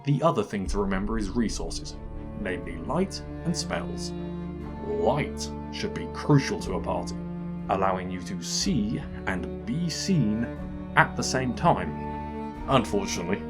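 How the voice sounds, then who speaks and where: A man's voice: fairly low pitch, sing-song, unhurried, over loud music. A man hosting a scripted comedic video game review, narrating in a dry, wry manner.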